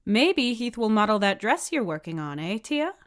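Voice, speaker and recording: A woman's voice: medium pitch, sing-song, normal speed, lightly acted. A woman voicing an approving, encouraging adult schoolteacher in a story. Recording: music behind the voice.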